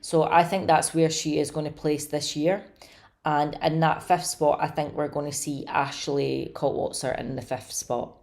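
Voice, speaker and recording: A woman with a slightly hoarse, raspy voice, chatting casually and eagerly to camera about her contest predictions. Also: deep voice, fairly even intonation, measured pace, darkish tone. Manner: chatty, enthusiastic, many fillers. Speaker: bodybuilding commentator.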